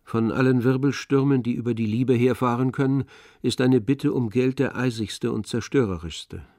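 An actor narrating a literary radio play in a measured, composed storytelling manner. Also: male voice, mid-range voice, a little mellow, dry recording, close to an everyday voice. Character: composed male literary narrator of a tragic novel. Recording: dry studio, music underneath.

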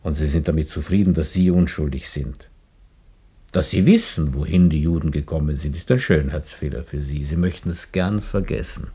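An actor playing a grave, disillusioned man in a radio play, speaking in a measured, brooding, sardonic manner. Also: very deep voice, dark tone, slightly rough, full character voice. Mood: grave, bitter. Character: grave, disillusioned believer, bitter moral accuser. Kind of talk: German radio play acting.

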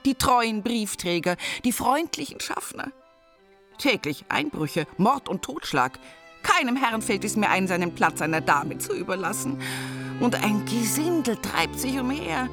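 An actress playing a fussy, self-pitying middle-class housewife who complains indignantly in a German radio play. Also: female voice, fairly high pitch, big pitch swings, measured pace, music in the background. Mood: scandalised lament.

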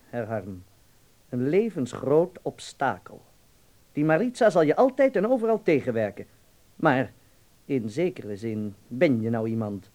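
A man's voice: medium pitch, a little mellow. An actor playing a worldly, gossipy man in a Dutch radio play, sly and knowing as he warns.

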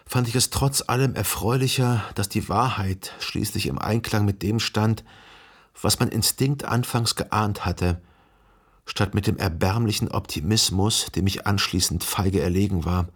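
An actor playing a brooding, melancholy man who recalls a dead lover in reflective, measured radio drama narration. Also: lowish voice, husky. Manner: reflective, measured, analytical monologue.

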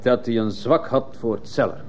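A man's voice: medium pitch, normal speed, a crowd in the background, lightly acted. An actor playing a stiff old disciplinarian sergeant in a radio drama, deferential as he testifies. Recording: muffled old radio play, faint noise.

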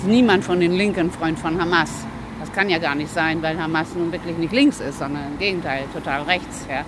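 A man's voice: on the high side, a little fast. A man talking colloquially in a spontaneous street interview, adding little tag words as he explains. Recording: noisy outdoor street with cars.

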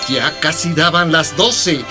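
A male narrator tells a scripted radio story. His Mexican-accented voice is a little high, and music runs clearly underneath. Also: takes it slowly.

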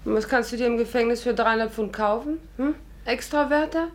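A woman's voice: on the high side, brisk, natural voice. An actress in a 1950s German radio drama playing a sensible, sceptical young woman, polite and wry. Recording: noisy 1950s radio recording.

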